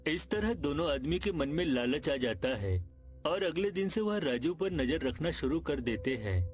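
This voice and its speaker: A man's voice: a little high, sing-song, normal speed. A man reading scripted narration for a cartoon moral tale as its storyteller. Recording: music behind the voice.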